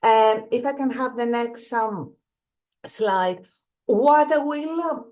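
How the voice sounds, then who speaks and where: A woman's voice: fairly high pitch, slow pace, a little mellow. Greek-accented woman giving a talk. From Greece. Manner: earnest, reflective, repeats and restarts phrases. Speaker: guest speaker, museum director. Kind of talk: online presentation with slides.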